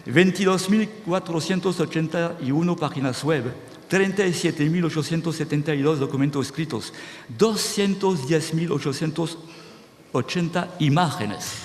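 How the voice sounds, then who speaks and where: A man's voice: on the high side, moderate pace. Guy giving a talk, keeps checking "¿sí?", echoey big room. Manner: animated, restarts words, checks with "¿sí?". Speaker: speaker at a conference. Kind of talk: lecture-style talk to an audience. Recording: reverberant hall, faint noise.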